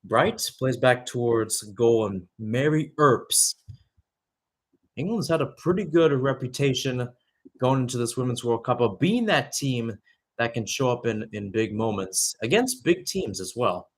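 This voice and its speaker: A man's voice: mid-range voice, lively intonation, unhurried. A young man doing live radio-style soccer play-by-play, with conversational analysis that wanders and corrects itself. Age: young adult.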